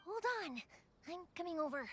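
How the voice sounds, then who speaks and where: Spirited, high-voiced young woman. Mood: reassuring. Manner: brisk, reassuring, expressive. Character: spirited young woman, caring, confident family fireworks maker. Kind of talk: video game voice acting. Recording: music playing behind the voice.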